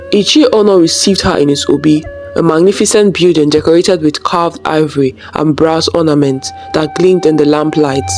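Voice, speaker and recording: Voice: medium pitch, a little mellow. A storyteller reading a scripted folktale as its narrator.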